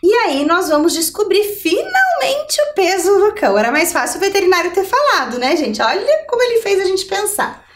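A middle-aged woman explains things step by step as a teacher. Her voice is high and swings widely in melody, and she keeps checking in with questions. The sound is roomy, as if she stands a little way from the microphone. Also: far from the mic. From Brazil. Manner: didactic, checking in with rhetorical questions. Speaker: adult education maths teacher. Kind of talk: televised lesson, explaining step by step. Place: indoor TV lesson studio. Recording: roomy echo, somewhat off the mic.

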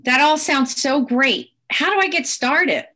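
A woman's voice: sits high, lively intonation, unhurried, sharp tone. A woman moderating an online presentation, upbeat and enthusiastic as she poses questions to a panel. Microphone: video call.

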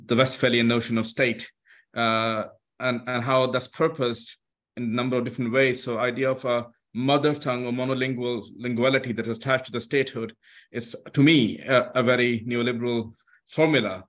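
Accented male discussant, hesitant and searching, mid-pitched voice. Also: a bit bright. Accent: foreign-accented English. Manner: halting, many fillers and restarts. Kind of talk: academic discussion.

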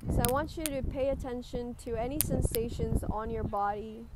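High-voiced woman leading guided meditation. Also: normal speed. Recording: clear background noise, ambient sound.

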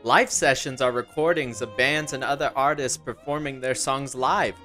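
Guy playing a chipper dad in a radio ad, bright punchy voice, folksy salesman delivery. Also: mid-range voice, moderate pace, faint music behind, natural voice. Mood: upbeat, pitching. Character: chipper dad pitching to his young son. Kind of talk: scripted radio ad dialogue.

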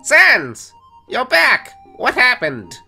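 An exuberant, affectionate cartoon skeleton brother, acted by a woman, theatrical and earnest. The voice is bright and forceful and takes its time, with music underneath. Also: a little high, wildly swooping pitch, drawn-out words, creaky, exaggerated voice. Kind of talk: comic dub voice acting. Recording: voice over background music.

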